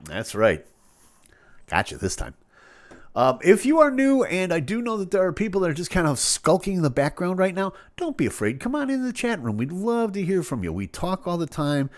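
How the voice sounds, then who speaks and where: A man's voice: sits high, normal speed. A man with a head cold hosting his live stream, chatting casually and jokingly as he reads out viewer comments. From Chicago area. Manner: jokey, playful, animated delivery with sudden loud bursts.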